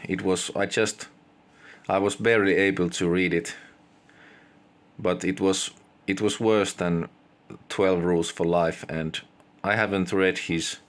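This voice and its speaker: A man with a Finnish accent giving casual, unscripted opinions in halting English, pausing mid-sentence. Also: sits low. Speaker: video creator giving opinions.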